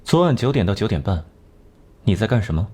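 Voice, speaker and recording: An actor playing a cool, shrewd detective in an animated crime drama, questioning in a terse, dryly sardonic way. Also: male voice, lowish voice, fairly quick, slightly rough. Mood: sardonic, probing. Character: cool, shrewd, acerbic male detective, unflappable interrogator.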